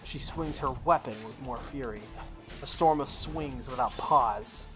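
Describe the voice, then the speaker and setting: A gaming host narrates a visual novel aloud in a medium-pitched voice. The reading gives way to enthusiastic, gushing commentary. Game music plays behind the voice. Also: a little slow. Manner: enthusiastic, gushing asides between read narration.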